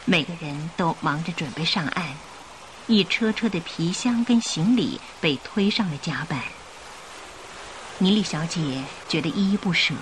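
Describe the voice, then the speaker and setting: Expressive, lower-voiced woman storyteller. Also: a little hiss or hum, poor audio. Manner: expressive, carefully enunciated. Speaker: narrator. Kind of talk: scripted dramatic storytelling narration.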